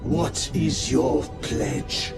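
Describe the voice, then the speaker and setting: An actor playing a grim, solemn warrior-priest of a far-future military order in a game cutscene, with a gravelly, ceremonial delivery. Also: male voice, drawn-out words. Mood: ritual, commanding. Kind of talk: dramatic video game cutscene acting. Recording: echoey, under loud music.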